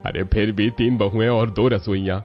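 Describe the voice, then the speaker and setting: A man's voice: on the low side, fairly even intonation, normal speed, lightly acted. A man playing a middle-aged father of three grown sons in a cartoon family story. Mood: worried. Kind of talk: Hindi cartoon story voice acting. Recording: dull lo-fi audio over music.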